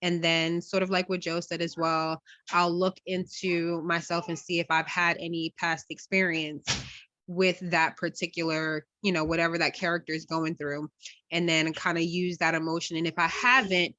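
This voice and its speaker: Bright, lowish-voiced woman, close and dry. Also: level tone, normal speed, dry recording, muffled recording. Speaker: stage actress, interview guest. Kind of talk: casual interview answers.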